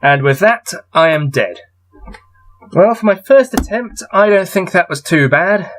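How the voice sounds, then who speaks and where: Guy w a bit of a higher voice playing thru a gamebook out loud, wry muttery comments. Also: expressive, a little slow, slight background noise. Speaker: solo gamebook player and reader. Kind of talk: reading aloud and commenting on a gamebook.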